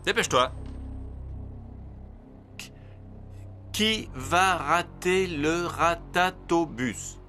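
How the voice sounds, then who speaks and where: A man playing a gruff, bossy giant schoolmaster in a children's cartoon, scolding and commanding. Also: fairly high pitch, very slow, pauses often, pushed and bright, faint music behind, voice pushed up, exaggerated voice. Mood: impatient.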